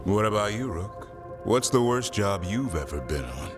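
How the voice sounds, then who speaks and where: An actor playing a gruff but sociable veteran monster fighter in a video game. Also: male voice, on the low side, sing-song, normal speed, music in the background. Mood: jovial. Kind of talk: video game cutscene acting.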